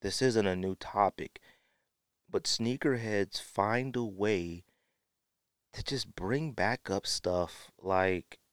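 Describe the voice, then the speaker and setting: A man with a fairly low voice, recorded close and dry, hosts a podcast solo. He talks casually and with strong opinions, leaning hard on key phrases and repeating them to drive the point home. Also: slow pace, smooth, no echo. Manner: emphatic, repeats phrases for effect. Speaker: podcast host.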